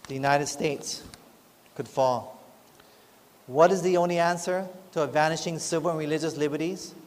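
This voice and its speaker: Guy giving a sermon, pretty high voice, long echo, keeps asking right? amen?. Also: moderate pace. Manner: asks the congregation for agreement often. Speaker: pastor. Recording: long hall reverb.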